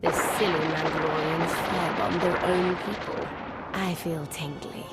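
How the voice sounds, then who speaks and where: A woman's voice: low voice, steady tone, measured pace, loud music behind the voice. Playful, sinister young tyrant woman. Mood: teasing. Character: gleefully cruel, whimsical young female tyrant.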